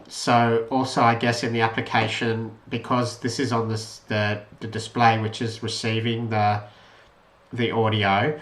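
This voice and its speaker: A man's voice: lowish voice, a bit bright. A man giving an informal, unscripted tutorial walkthrough of an electronics project, explaining step by step and rephrasing as he goes. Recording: roomy, echoey voice pickup.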